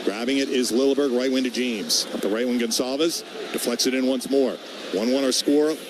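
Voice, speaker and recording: A man calling live hockey on the radio in clipped, quick phrases as play moves. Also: mid-range voice. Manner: clipped, rapid-fire phrases following the action. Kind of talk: live sports commentary. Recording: noisy radio broadcast from an arena.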